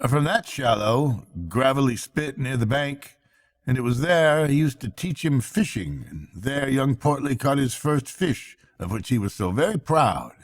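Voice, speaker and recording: A worried water rat from a bedtime storybook, acted out by a man. The voice is lifted high and confides gravely and earnestly, like a kind friend sharing troubles. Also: animated, unhurried. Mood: grave, sympathetic. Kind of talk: bedtime storybook character reading.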